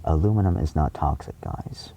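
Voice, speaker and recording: A man giving an impassioned, indignant monologue to viewers, emphatic and repetitive, with a gravelly, husky voice. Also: extremely low pitch, sing-song, normal speed, warm tone, slight background noise. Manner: frequent swearing. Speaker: video creator addressing viewers.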